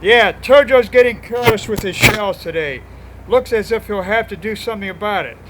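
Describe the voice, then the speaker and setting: A man playing a gruff WWII Marine officer barking orders in a radio-play battle scene. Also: sits high, fairly quick, noisy background, natural voice. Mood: commanding. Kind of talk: 1940s radio acting.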